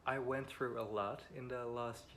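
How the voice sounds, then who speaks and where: A man's voice: medium pitch, fairly even intonation, moderate pace, fairly bright tone. Hesitant Dutch-accented young man. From Netherlands. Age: 20s. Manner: hesitant and apologetic, frequent ums. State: a bit nervous. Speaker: vlogger. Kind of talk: personal storytelling to camera. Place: home beside a busy road. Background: faint wind and passing traffic.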